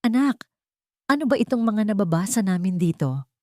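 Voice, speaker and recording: A woman's voice: medium pitch, animated, normal speed, a little mellow, no room sound, clean studio sound, pitched above the performer's own voice, natural voice. A woman voicing a worried, confused mother in an audio drama, anxious and questioning.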